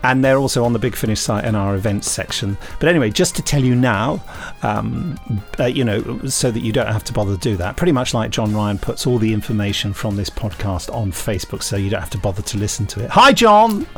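A man co-hosting a podcast, reading out news in casual chat with dry jokes that trail off quietly. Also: on the low side, lively intonation, fairly quick, hardly any pauses, soft background music. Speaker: podcast co-host.

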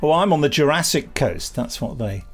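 A man's voice: fairly high pitch, expressive, moderate pace. Dry, wry British man over music. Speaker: podcast co-host. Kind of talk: casual chat.

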